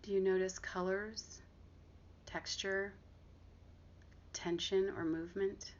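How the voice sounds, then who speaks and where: A woman guiding a body scan meditation, giving gentle, unhurried instructions with long pauses between phrases. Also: medium pitch, fairly even intonation. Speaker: meditation guide and therapist. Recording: dull lo-fi audio with clear background noise.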